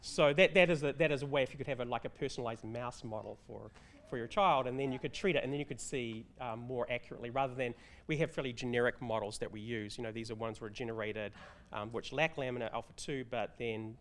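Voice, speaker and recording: A man, a researcher on a panel, explaining things in a brisk, matter-of-fact way. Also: medium pitch, a bit bright.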